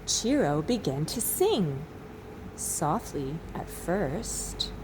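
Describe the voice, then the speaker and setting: A woman teacher reading a children's storybook aloud expressively, with dramatic pauses. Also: low voice, sing-song, noisy background. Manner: slow, dramatic pauses, asks listeners questions.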